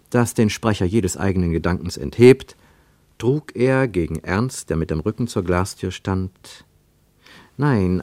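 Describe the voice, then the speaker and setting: A man reads literary prose for radio as a narrator, with deliberate, emphatic phrasing and dramatic pauses. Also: medium pitch, darkish tone, dry recording.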